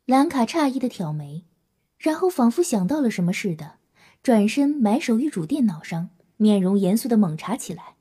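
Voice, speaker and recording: Woman, audio drama narrator, scripted storytelling, husky, mellow, slightly high pitch. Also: sing-song, moderate pace.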